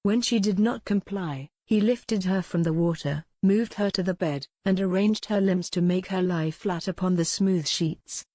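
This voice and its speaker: Low-voiced woman narrating, close and dry. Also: moderate pace, few pauses, mellow, no room sound. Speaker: audiobook narrator. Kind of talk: scripted narration of a historical romance novel.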